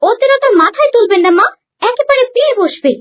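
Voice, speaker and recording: A woman's voice: very high voice, expressive, brisk. A woman voicing a haughty, cruel young princess in a cartoon, speaking in an imperious, scornful manner. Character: haughty, cruel young princess bride who scolds servants. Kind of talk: cartoon voice acting.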